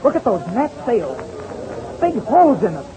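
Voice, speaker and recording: A man's voice: high voice, wildly swooping pitch, normal speed, highs cut off. A male actor playing an eager, keen boy aviator in a 1930s radio serial, on a noisy old recording. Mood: excited.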